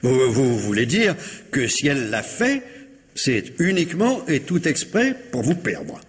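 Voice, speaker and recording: Probing police commissioner, lively intonation, reverberant. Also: male voice, medium pitch. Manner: insistent, repeats himself, measured questioning. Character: probing police commissioner, courteous, insistent, wry man. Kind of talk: 1950s French radio mystery acting. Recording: reverberant hall-like radio drama sound.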